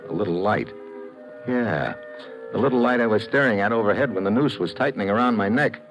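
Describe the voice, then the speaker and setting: An actor playing a tough, wry American investigator in clipped, slangy mid-century radio detective style. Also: male voice, fairly low pitch, expressive, a little fast, faint music behind. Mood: tense narrating. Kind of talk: 1940s-50s radio detective acting. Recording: noisy old radio broadcast recording.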